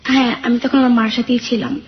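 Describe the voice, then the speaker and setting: An 18-year-old woman telling her own life story in a radio interview, hesitant and soft-spoken. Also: fairly high pitch, little pitch movement, a little fast, highs cut off, slight background noise. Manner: hesitant, soft-spoken, with frequent "mane" fillers.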